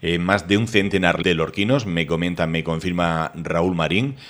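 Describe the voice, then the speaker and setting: Male radio host, very deep voice, chatty and loose. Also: fairly even intonation, moderate pace, fairly bright tone. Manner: chatty, rambling with fillers. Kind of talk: radio interview.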